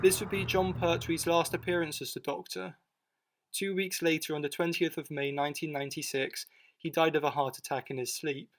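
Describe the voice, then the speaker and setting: A man's voice: a little high, fairly even intonation, fairly quick, slight background noise. A man narrating a scripted documentary video in an informal, matter-of-fact way. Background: music bed.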